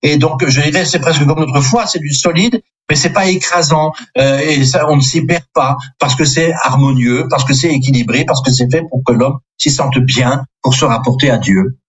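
An older man from Alsace, a priest, talks freely in a radio interview, stopping on fillers and apologizing with a small laugh. His voice is husky, and the sound is a little muffled and lo-fi. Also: a little high, moderate pace, few pauses. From Alsace, France. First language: Alsatian. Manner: chatty, hesitant with many fillers, self-deprecating.

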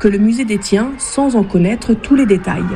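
A woman reporter reading a scripted news report in a measured, even way. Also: mid-range voice, vocal fry, soft background music.

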